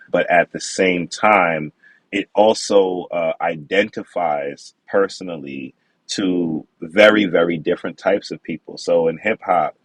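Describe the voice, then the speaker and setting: A man's voice: low-pitched, no room sound, low-quality recording. A man from the Bronx, talking casually as a podcast guest. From the Bronx, New York. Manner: relaxed, conversational, slang-flavored. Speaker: podcast guest, wine expert. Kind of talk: casual interview talk.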